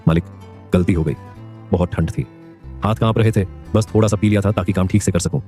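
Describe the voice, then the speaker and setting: Man voicing a humble young laborer; low, mellow voice, quick delivery. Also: fairly even intonation, faint music behind, close to an everyday voice. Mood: pleading. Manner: pleading, earnest. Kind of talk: animated story voice acting.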